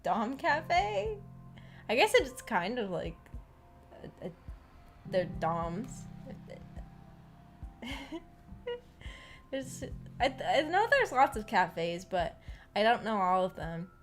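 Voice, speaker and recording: A woman's voice: on the high side, very animated, slow pace, pauses often. A woman streamer chatting casually, giggly and hesitant, rambling and repeating her words. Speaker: VTuber streamer hosting a visual novel book club. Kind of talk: casual livestream chat. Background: background music.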